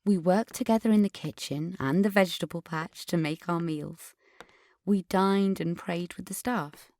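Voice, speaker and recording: Casting: actress to play privileged, defensive woman for podcast drama, slightly low voice, formal precise diction, pompous. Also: moderate pace, a little mellow, no room sound, lightly acted. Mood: wistful, proud. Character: haughty, defensive upper-class adult alumna named Katrina. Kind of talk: dramatic monologue in a fiction podcast.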